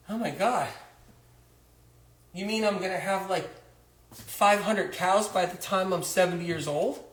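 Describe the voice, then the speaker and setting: Oblivious young chicken-eater , as a man puts him on, high voice, rambling and incredulous, echoey room. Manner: rambling, incredulous, repeats himself.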